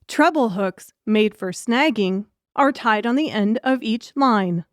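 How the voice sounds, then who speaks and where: A woman's voice: mid-range voice, dry recording, clean studio sound. A woman reading scripted audiobook narration as the storyteller.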